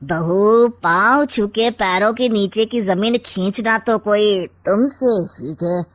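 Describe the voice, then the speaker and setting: A woman playing a slow-drawling, scheming uncle. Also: mid-range voice, natural voice. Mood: sly, teasing. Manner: drawling, sly, theatrical. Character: scheming, sarcastic maternal uncle, middle-aged or older man. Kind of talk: acted Hindi comic family story.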